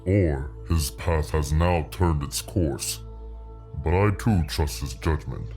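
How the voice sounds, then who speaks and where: A man voicing a great copper dragon in a fantasy audiobook, grave and loyal, with a rumbling, gravelly voice and slow, weighty delivery. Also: mellow, soft background music. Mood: grave, pondering.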